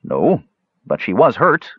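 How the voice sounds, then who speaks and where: A man's voice: mid-range voice, huge pitch swings, slow pace, husky, no echo, dull audio, pitched above the performer's own voice, full character voice. A man voicing a stern, humorless half-elf who speaks tersely and protectively in an audiobook. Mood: explaining. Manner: terse, serious. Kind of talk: audiobook character voice.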